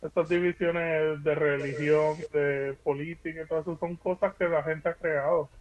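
A man's voice: fairly high pitch, steady tone, normal speed, low-quality recording. A man hosting a podcast, chatting casually with a guest. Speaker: podcast host. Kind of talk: casual conversational interview.

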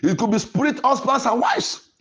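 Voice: mid-range voice, expressive, normal speed, fairly bright tone. A preacher delivering a sermon in an emphatic, declarative way, repeating phrases for emphasis. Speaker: preacher and podcast host.

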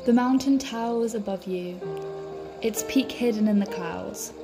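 Woman guiding a meditation over music. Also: on the high side. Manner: calm, soothing, measured delivery. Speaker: meditation guide, podcast host. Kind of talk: guided meditation narration. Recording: music behind the voice. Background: background music.

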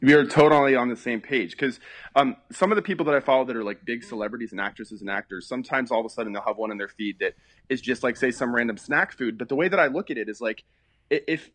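A middle-aged man who talks quickly in a mid-pitched voice, captured dry. His casual podcast chat is animated and runs on from one thought to the next. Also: dry recording. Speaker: interior designer.

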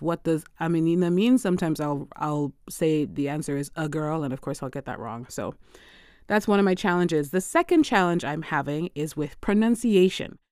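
A woman hosting her own podcast, talking close to the mic in a dry, deadened room. Her voice is smooth and clear and sits a little low. She chats easily, laughs often and drops Portuguese phrases into her talk. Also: dry recording. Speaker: podcast host. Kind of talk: solo language-learning podcast.

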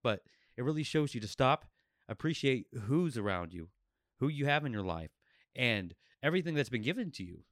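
A man with a medium-pitched voice hosts a casual podcast chat. He is candid and reflective, often stopping and restarting as he works through a thought. The recording is dry, with hardly any room sound. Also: big pitch swings, moderate pace, fairly bright tone, no room sound. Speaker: podcast host.

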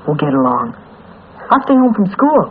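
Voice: medium pitch, very animated, normal speed, a little hiss or hum, natural voice. Actor playing responsible big brother kid, young teen, folksy and earnest, voice swoops around, old tinny radio play. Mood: worried, earnest. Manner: earnest, plain folksy speech. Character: responsible eldest boy, young teen, protective of family. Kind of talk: 1940s radio drama acting.

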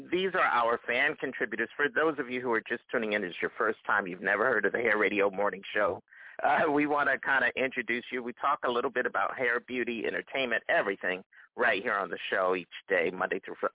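A man's voice: lowish voice, brisk, no room sound. A man hosting a live radio morning show, chatty and repeating words as he goes. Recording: thin phone-like dry sound.

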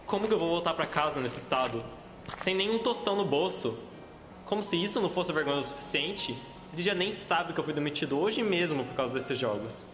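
A man's voice: a little high, animated, fairly quick, lightly acted. A Brazilian man acting a desperate, ashamed broke gambler in a student radio drama, anguished and hesitant. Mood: bitter despair. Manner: anguished, hesitant muttering to himself. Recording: echoey reverberant sound with music behind.

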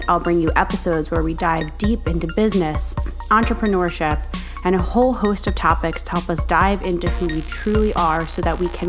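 A woman hosting a podcast, speaking directly to her listeners in a slightly raspy voice. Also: on the low side. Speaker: podcast host and business coach. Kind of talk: podcast monologue, promotional then casual chat.

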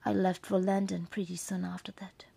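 Actress as bitter, wounded gardener woman, mellow and breathy, confiding bluntly and haltingly in a dramatic monologue. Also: lowish voice, level tone, full character voice. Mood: pained, resigned. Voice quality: husky, breathy, creaky. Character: wounded, bitter working-class woman gardener recounting her hard childhood.

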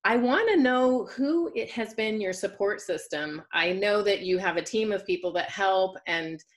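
A woman's voice: mid-range voice, moderate pace. A woman hosting an interview on a call, affirming her guest and asking open questions. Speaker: podcast host. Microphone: remote call.

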